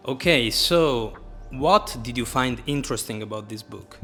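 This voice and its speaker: A man's voice: mid-range voice, expressive, moderate pace, sharp tone, soft background music. A man speaking English as a second language as host, asking leading, coach-like questions in a casual interview. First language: Italian.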